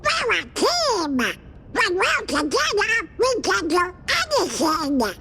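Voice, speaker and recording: An actor voicing an excitable, spirited cartoon duck sidekick in a video game, squawking the words. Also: very high-pitched, slow pace, vocal fry, full character voice. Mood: rallying.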